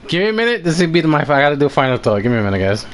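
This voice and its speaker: A man chatting and commentating casually as the host of a live stream, halting and often repeating himself. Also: fairly high pitch, big pitch swings.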